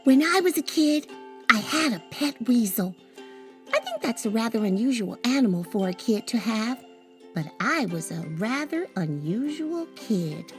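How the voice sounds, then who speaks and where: A woman reading a first-person children's story aloud in an expressive, scripted storytelling style, with tinkling music behind her. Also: on the high side, unhurried. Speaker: storybook reader.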